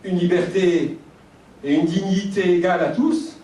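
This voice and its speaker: Man, from Algeria, high voice, conference lecture, measured and reflective, distant mic, echoey hall, faint background hiss. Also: steady tone, mellow. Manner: reflective, measured, with pauses and lists. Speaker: speaker at a conference.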